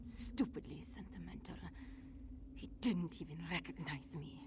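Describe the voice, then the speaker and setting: An actress playing a bitter, defiant cat-folk rogue in a fantasy game, sardonic and theatrical. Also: female voice, deep voice, measured pace, gravelly, whispered.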